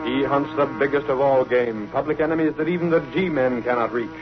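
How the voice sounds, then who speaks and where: A man's voice: medium pitch, brisk, very noisy, close to an everyday voice. A man acting as a portentous, theatrical announcer for a vintage radio serial, on an old recording. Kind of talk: dramatic 1930s radio announcing. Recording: dull old radio recording, loud music.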